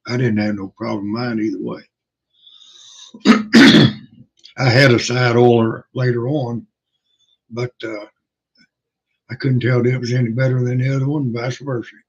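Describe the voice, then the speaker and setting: An older man hosting a livestream, chatting casually in a hoarse, rough voice, halting and folksy with many pauses and fillers. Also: mid-range voice, level tone. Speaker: livestream host. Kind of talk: casual rambling chat. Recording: dull lo-fi sound.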